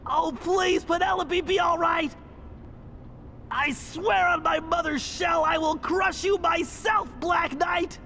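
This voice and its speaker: An actor playing a nerdy, earnest cartoon turtle mastermind in a video game, nasal and anxious, with precise, wordy delivery. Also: male voice, very high voice, steady tone, slow pace, pushed and bright, raised voice, faint music behind, full character voice. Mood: anguished. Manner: precise, wordy, fussy briefing.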